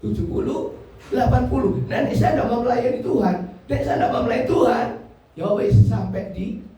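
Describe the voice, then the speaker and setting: A man's voice: very high voice, moderate pace, mellow. A man in his seventies with a Javanese Indonesian accent preaching an interactive sermon, insistent and repetitive, putting questions to his listeners. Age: 70s. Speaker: pastor preaching. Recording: very echoey hall, far from mic.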